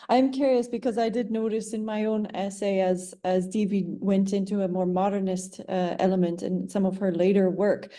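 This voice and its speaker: A woman academic asking an informal discussion question in a tentative, halting way. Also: mid-range voice, warm tone.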